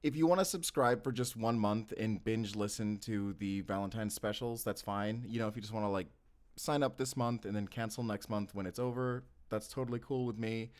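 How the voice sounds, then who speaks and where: A man's voice: on the low side, normal speed. A man casually addressing his listeners as an audio creator, easygoing and hesitant in his phrasing.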